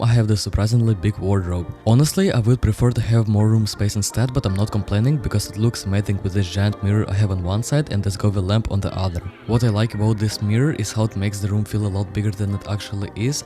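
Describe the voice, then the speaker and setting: A teenage boy from Ukraine with a Ukrainian accent, giving a scripted room tour voice-over with dry, self-aware humor. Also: lowish voice. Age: teens. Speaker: vlogger.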